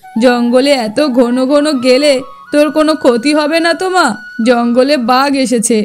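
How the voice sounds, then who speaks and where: A woman's voice: sits high, moderate pace, faint music behind, lightly acted. A woman voicing a protective village mother with a worried, pleading manner in a cartoon fairy tale.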